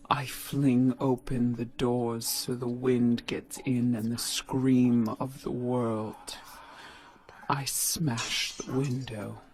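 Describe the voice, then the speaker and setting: A woman playing a defiant, tormented young woman in an experimental audio play, often hushed and whispering, intense. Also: extremely low pitch, flat delivery, slow pace. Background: music.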